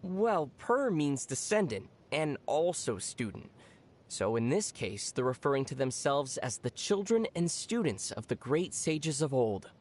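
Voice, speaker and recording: A young adult actor playing a calm, gently teasing traveler hero in scripted video game dialogue. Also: mid-range voice, unhurried. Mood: calm, informative.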